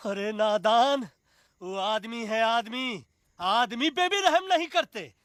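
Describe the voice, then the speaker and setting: An actor playing a hot-headed, militant monkey commander in a cartoon film, blustering in a rustic eastern Hindi accent. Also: male voice, very high-pitched, expressive, slow pace, fairly bright tone, a little hiss or hum, exaggerated voice. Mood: mocking. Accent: eastern Hindi heartland, Bhojpuri-Awadhi. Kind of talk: animated film voice acting.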